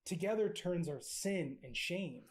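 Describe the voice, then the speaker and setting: A man preaching in short, measured phrases, pausing for an interpreter. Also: high-pitched, lively intonation. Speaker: evangelist.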